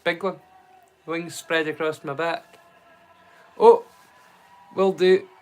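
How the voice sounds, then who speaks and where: A man's voice: a little high, steady tone, takes it slowly, soft background music. A man hosting a casual livestream, chatting to viewers in a rambling, stop-start way and repeating words mid-sentence. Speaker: livestream host.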